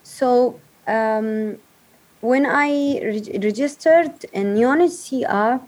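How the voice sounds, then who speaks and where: A woman from Yemen speaks English in a remote interview. Her voice sits fairly high, and she pauses and restarts as she searches for words. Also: a little mellow. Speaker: guest, refugee advocate.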